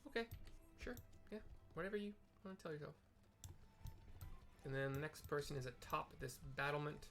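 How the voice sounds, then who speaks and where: A man's voice: on the high side, expressive, moderate pace, a bit bright, faint music behind. A man casually narrating a game playthrough, describing what is on screen with offhand, muttered asides. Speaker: gaming video creator.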